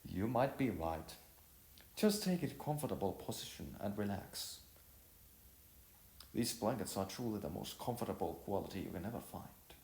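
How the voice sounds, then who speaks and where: A man's voice: lowish voice, lively intonation, moderate pace, darkish tone. A man voicing a caring, teasing woman who tries to sound wise and is easily exasperated, in an audio story. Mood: teasing, composed. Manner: trying to sound collected and wise. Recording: roomy echo, faint music behind.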